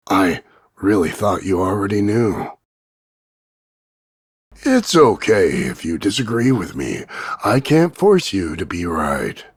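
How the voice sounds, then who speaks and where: A low, gravelly macho action hero for a voice-over demo. He is cocky and gruff, drawling his mocking one-liners slowly with big swings in pitch. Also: dry recording, clean studio sound, full character voice. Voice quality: gravelly, husky. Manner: deadpan, drawling, mocking delivery. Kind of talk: scripted voice-over demo of one-line insults.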